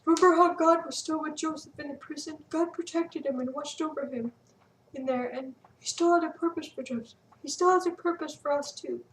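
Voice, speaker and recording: A woman's voice: high voice, fairly quick, a little hiss or hum, pitched above the performer's own voice, lightly acted. Woman voicing an earnest reassuring young boy. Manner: soothing, earnest. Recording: roomy echo, distant mic.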